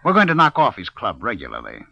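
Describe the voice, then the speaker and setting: Smooth, menacing low-voiced gangster boss. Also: male voice, huge pitch swings, fast, sharp tone, exaggerated voice. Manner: brusque, sardonic, self-assured. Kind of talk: old-time radio crime drama acting. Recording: dull old radio recording.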